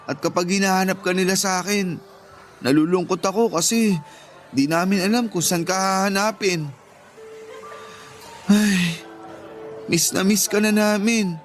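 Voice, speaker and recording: A man's voice: high voice, steady tone, measured pace, long pauses. A man playing an earnest grieving younger brother. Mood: sad. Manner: soft-spoken, earnest. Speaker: younger sibling. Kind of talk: Tagalog radio drama acting. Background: soft background music.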